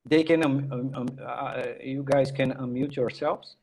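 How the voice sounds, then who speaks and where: A man from Brazil with a Brazilian accent and Portuguese as his native language, giving an informal online tech presentation in a halting, repetitive way with "right?" tags. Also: medium pitch, steady tone, vocal fry, a little mellow. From Minas Gerais, Brazil. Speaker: technical presenter and workshop instructor.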